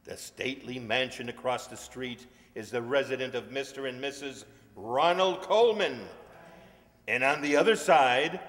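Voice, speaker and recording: A man's voice: mid-range voice, fairly bright tone, lightly acted. A man playing a cheery, chatty bus tour guide in an old-time radio comedy, announcing to his passengers with showy patter. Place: stage of a large hall. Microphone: stand microphone. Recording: echoey hall stage performance.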